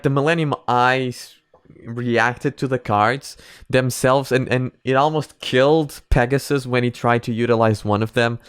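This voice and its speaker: A man, a Portuguese speaker, hosts in a casual, rambling way. His voice is smooth and mid-pitched, recorded dry. He searches for words and leans into sarcasm. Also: no room sound. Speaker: reaction host. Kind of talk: casual reaction commentary.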